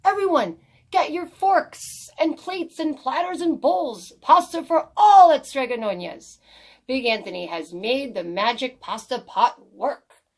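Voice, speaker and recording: A woman's voice: high voice, lively intonation, a little slow, voice pushed up, full character voice. A woman voicing a naive, boastful big young man in a storybook, exclaiming and given to sing-song chanting. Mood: excited, boastful.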